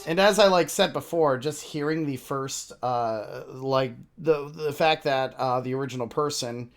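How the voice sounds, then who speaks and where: Excitable man rambling through casual reaction commentary. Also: medium pitch, a little slow, faint music behind. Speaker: reaction video host, VTuber.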